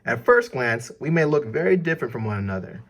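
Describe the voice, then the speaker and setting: Man, medium-pitched voice, teacher read-aloud, instructive, questions aimed at young listeners. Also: sing-song, fast. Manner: didactic, questioning the listener directly. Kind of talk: educational read-aloud for children with asides and questions.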